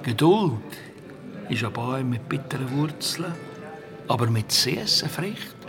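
An actor playing a sly, odd pilgrim in a children's radio play, talking in lofty, sententious proverbs. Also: male voice, mid-range voice, sing-song, measured pace, music in the background. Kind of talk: German-language children's radio play acting.

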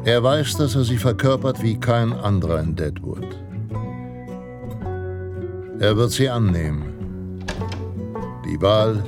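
Man as a deep-voiced, solemn Western narrator over guitar. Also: lightly acted. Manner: measured, solemn, dramatic. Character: Western pulp narrator, male, grave and self-assured. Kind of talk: scripted radio drama narration. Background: guitar music.

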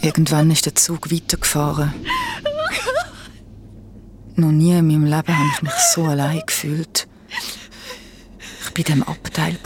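A woman's voice: low-pitched, background noise. A woman with a Swiss German accent playing a shaken, insistent young traveller who narrates her ordeal in a radio drama.

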